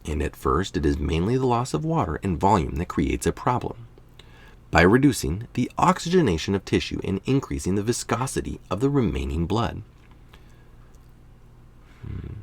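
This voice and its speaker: A man's voice: low-pitched, very animated, moderate pace, vocal fry, breathy edge. A man reading a scientific health article aloud, now and then adding a casual remark of his own.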